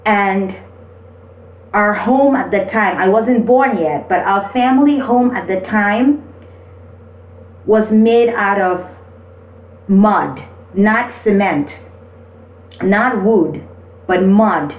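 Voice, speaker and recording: A woman speaks in a slow, deliberate and encouraging way, mixing motivation with personal stories. Her pitch sits in the middle for a woman. The recording is thin and phone-like, with the mic at a distance. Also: roomy sound. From Cameroon. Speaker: motivational video host. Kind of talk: motivational talk with personal storytelling.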